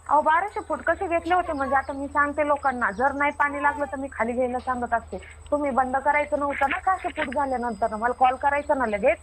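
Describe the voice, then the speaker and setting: A woman's voice: high-pitched, quick pace, hardly any pauses, noisy background, lightly acted. A woman playing a stubborn, self-assured water diviner with a rural Maharashtrian Marathi accent, arguing insistently and repeating herself in a comic phone-call skit. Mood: defensive, indignant.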